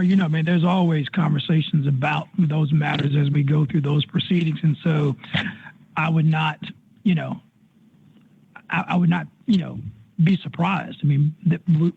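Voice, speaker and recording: Gravelly, folksy executive. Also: male voice, a little high. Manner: folksy, repeats himself. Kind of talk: informal answers on an earnings call. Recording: narrow-band conference phone line.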